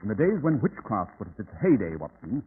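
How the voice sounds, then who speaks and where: An actor playing a shrewd, composed British detective in an old-time radio drama, speaking with precise, formal authority. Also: male voice, fairly low pitch, very animated, brisk, husky, exaggerated voice. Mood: measured, analytical. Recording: tinny, band-limited old radio recording with hiss.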